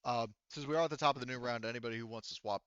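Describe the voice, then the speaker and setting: A man's voice: medium pitch, racing, fairly bright tone, clean tone, dry recording, highs cut off. A man working as game master, improvising narration and rulings for a tabletop roleplaying game in a casual, profane way.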